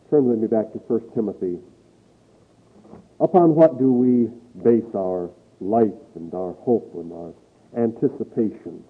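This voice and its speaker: A man's voice: medium pitch, breathy edge. A man preaching a sermon with emphatic, rising and falling delivery, reading scripture aloud. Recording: tinny phone-like old recording.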